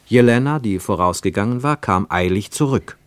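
Tiefer männlicher Erzähler, sehr trockener Klang. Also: male voice, on the low side, animated, normal speed, no echo. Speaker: narrator. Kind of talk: literary scripted narration for a radio play.

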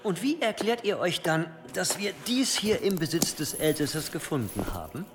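An actor playing a scheming cathedral provost who prosecutes in a formal, insinuating manner in a historical radio drama. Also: male voice, a little high, sing-song, normal speed. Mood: accusing. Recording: long hall reverb, faint noise.

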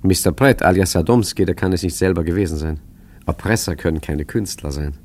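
An actor playing a suave, scheming gentleman crook in a 1950s German radio play, urbane, wry and condescending. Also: low-pitched, brisk. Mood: wry, reflective.

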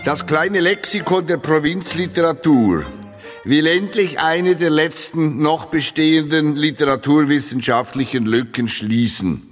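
A man declaims satirical literary texts in a solemn, formal way. His voice is fairly high, set in a long hall reverb with some music. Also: a little slow. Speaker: reader. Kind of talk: scripted satirical literary reading.

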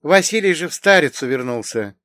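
Man acting sharp-tongued noble aunt, middle-aged, haughty, high swooping pitch, drawn-out commanding phrases, historical audiobook. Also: expressive, no room sound, clean studio sound. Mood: reminiscing. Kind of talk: acted audiobook dialogue.

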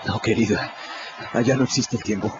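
An actor playing a condemned man who calmly and tenderly comforts a companion, solemn, in a radio drama. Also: fairly low pitch, a little fast, noisy background, natural voice. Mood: tender, soothing. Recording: dull radio-drama recording, loud background ambience.

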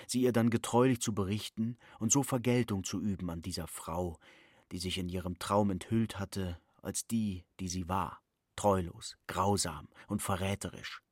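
A man giving measured, formal literary narration in a radio play. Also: lowish voice, no room sound, clean studio sound, lightly acted.

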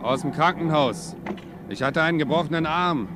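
A man's voice: a little high, moderate pace, close to an everyday voice. An actor playing a young ordinary seaman, polite, hesitant and persistent, in a 1950s German radio play. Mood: earnest, hesitant. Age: young adult. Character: earnest young ordinary seaman seeking his father. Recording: noisy 1950s radio recording.

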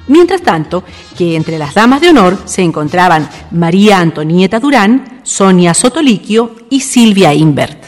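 Chilean woman, radio narrator, scripted delivery, very expressive intonation, faint background music. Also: medium pitch.

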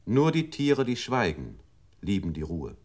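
Grave computer reciting unit, radio drama: lowish register, deliberate, formal recitation. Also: male voice, wildly swooping pitch, darkish tone. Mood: logical, grave. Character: male computer memory unit, gravely reciting processed verse.

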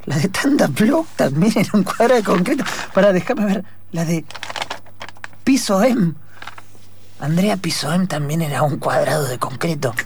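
A man playing an excitable, stubborn police detective with an Argentine accent in improvised comic radio drama, repeating himself haltingly. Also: a little high, slight background noise. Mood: agitated. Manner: repetitive, halting, colloquial Argentine phrasing.

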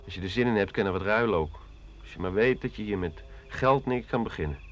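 A man's voice: fairly low pitch, expressive, quick pace, a little hiss or hum, natural voice. Man acting a crude, cynical colonial trader, dull recording. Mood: shifty, gruff. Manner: coarse, slangy, laughing scornfully. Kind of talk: radio play acting. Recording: dull 1980 radio recording.

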